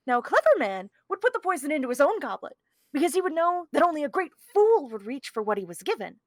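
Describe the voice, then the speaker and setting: Pompous scheming villain, really high voice, swoops all over, wordy and full of himself. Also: male voice, big pitch swings, quick pace, dry recording. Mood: excited, pompous. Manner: pompous, verbose, argumentative. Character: conceited, scheming criminal mastermind holding a hostage. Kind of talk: theatrical table-read performance.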